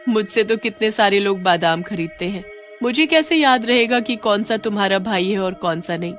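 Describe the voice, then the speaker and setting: A woman's voice: medium pitch, expressive, moderate pace, soft background music, lightly acted. A woman voicing a menacing, gloating witch who sells almonds and hunts people in a cartoon horror story. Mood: irritated, evasive.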